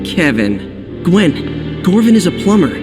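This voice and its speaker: A man's voice: sits high, very animated, takes it slowly, over music, heavily acted. A man playing a brash, eager teenage boy hero in a game, informal and wisecracking. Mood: brisk, determined. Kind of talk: animated-series style game cutscene acting.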